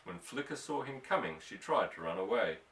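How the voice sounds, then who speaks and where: A man's voice: on the low side, big pitch swings, a little fast, a bit bright. A man reading a story aloud as an audiobook narrator, in a scripted narration style. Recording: echoey room, distant microphone.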